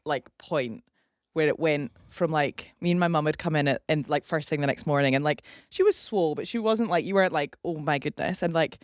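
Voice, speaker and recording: A young woman and rugby player telling a story in casual podcast chat, animated and lively. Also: low-pitched, brisk, few pauses, no echo, studio quality. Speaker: rugby player guest, teammate.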